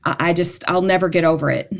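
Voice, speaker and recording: Woman, 40s, lower voice, casual podcast guest, sincere storyteller who restarts often. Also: fairly even intonation, fast, vocal fry. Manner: heartfelt storytelling with frequent restarts. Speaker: events ministry guest.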